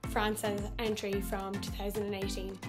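A woman's voice: medium pitch, completely flat delivery, moderate pace, over loud music. A woman from Ireland chatting playfully about her favorites, her voice worn from singing. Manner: playful, enthusiastic.

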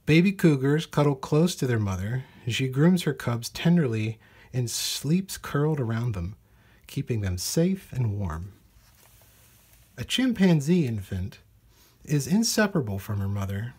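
A man with a husky voice reading a children's nature storybook aloud in measured, deliberate phrases. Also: mid-range voice, a little mellow. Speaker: storybook reader. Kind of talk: read-aloud children's nonfiction storybook narration.

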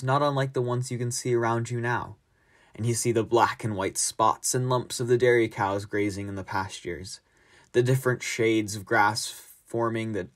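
A young man, a student narrator, reading a scripted descriptive piece. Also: on the low side. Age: young adult.

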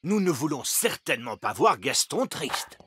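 A man's voice: on the high side, very animated, normal speed, a bit bright, husky, dry recording, heavily acted. An actor playing a mild, polite, hesitant fairy king and father in a French children's cartoon.

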